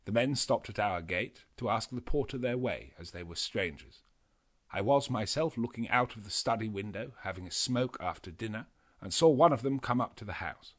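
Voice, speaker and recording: A man narrating a classic novel as an audiobook, in a measured and expressive reading. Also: on the low side. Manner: measured, expressive reading with a lively, shifting delivery.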